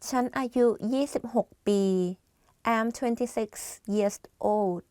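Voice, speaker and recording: A woman reads short model phrases for learners. Her pitch is slightly high and each line comes out deliberate and evenly spaced. The recording is dry and close. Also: darkish tone, dry recording. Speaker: phrase reader. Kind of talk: slow scripted phrase reading.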